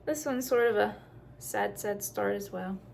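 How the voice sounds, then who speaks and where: Slow-talking woman with a creaky voice. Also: mid-range voice, a little hiss or hum. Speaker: craft video host. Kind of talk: casual show-and-tell chat.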